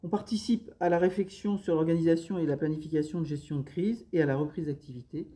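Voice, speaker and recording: A woman's voice: low-pitched, steady tone, fast talker, dark tone. A woman explaining her public service work in interview answers, with formal administrative phrasing.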